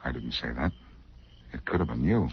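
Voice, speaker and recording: An American actor playing a cool, wry, wisecracking amateur sleuth in a 1940s radio drama. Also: male voice, a bass voice, lively intonation, normal speed, vocal fry, exaggerated voice. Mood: cool, accusing. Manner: wry, unflappable, teasing. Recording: muffled, noisy 1940s radio recording.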